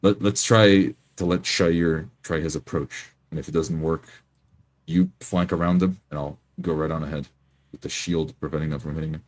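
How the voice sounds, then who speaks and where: A man's voice: extremely low pitch, fairly even intonation, normal speed. A man playing a tabletop roleplay, speaking firmly in character and describing his own actions.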